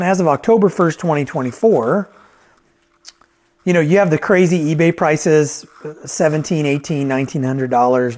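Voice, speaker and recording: Higher-voiced man giving a casual review. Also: a little mellow. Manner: conversational, thinking aloud, checking in with "right?". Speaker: vintage hi-fi reviewer and repairer. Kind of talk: casual off-the-cuff product review.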